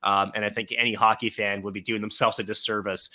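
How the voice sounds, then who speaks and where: A man's voice: lowish voice, level tone, quick pace, sharp tone. A man co-hosting a podcast, talking hockey at length in casual chat with many fillers. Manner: long monologues, filler-heavy. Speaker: podcast co-host, hockey broadcaster.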